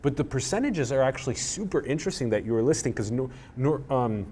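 A man in his thirties hosting an interview, talking in a rambling, animated way with lots of "like", on a lapel mic in a small room. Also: medium pitch, fast talker, a little hiss or hum. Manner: rambling, animated, uses "like" a lot. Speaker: podcast host. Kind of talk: casual interview chat. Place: small plain room indoors.

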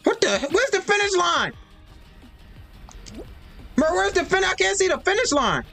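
Excitable young man streaming a game. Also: normal speed, gravelly, faint music behind. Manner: excitable, yelps high and loud at the game; slangy, talks to himself. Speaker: gaming streamer. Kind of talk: casual gameplay commentary. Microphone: desk mic with webcam.

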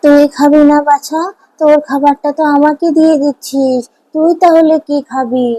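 A woman's voice: high voice, fairly even intonation, moderate pace, dark tone, close to an everyday voice. A woman voicing a frail, poor old villager in a cartoon fairy tale, with a plaintive, motherly manner. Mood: tender, concerned. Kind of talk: Bengali animated fairy-tale dialogue.

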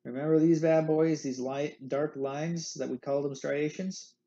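Gravelly, playful man giving a lecture. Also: a little high, steady tone, measured pace, mellow, highs cut off, a little hiss or hum. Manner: playful, jokey explainer with candy analogies. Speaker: anatomy instructor. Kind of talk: recorded slide lecture. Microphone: screen recording with webcam.

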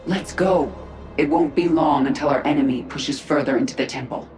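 A woman's voice: sits low, moderate pace, a little mellow, lightly acted. An actress playing a tough, cool warrior woman in a video game, with a dry, curt, confident way of talking. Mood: brisk, confident. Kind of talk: dramatic video game voice acting. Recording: voice under game music.

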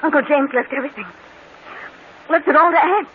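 A woman's voice: sits high, fairly quick, phone-quality sound, lightly acted. An actress playing an anxious, guilt-ridden young woman who pleads emotionally in an old radio melodrama. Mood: shaken, distraught. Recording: thin, noisy old radio broadcast.

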